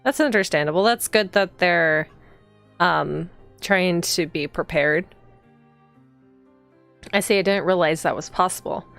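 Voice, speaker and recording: A woman with a slightly low voice streams a story game. She moves between reading the text aloud and casual commentary, thinking out loud as she goes. Soft music plays behind her voice. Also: unhurried, pauses often. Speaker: streamer playing a visual novel. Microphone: streaming microphone.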